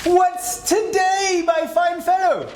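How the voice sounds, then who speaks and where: A man's voice: extremely high pitch, flat delivery, slow. A man performing a theatrical dramatic reading as an old miser who has repented and turned effusive and generous. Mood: giddy delight. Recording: echoey room with clear background noise.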